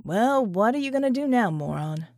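A woman's voice: on the high side, lively intonation, normal speed, a little mellow, dry recording. A woman voicing a laconic, wry soldier man in an audiobook, polite and easygoing with a dry, clipped manner. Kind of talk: audiobook character dialogue.

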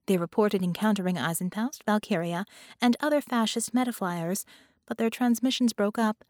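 A woman's voice: mid-range voice, moderate pace, a little mellow, dry recording, close to an everyday voice. Woman playing an AI assistant, factual, exact, formal, audiobook character, dry sound. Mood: factual, measured. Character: helpful, precise artificial intelligence computer companion.

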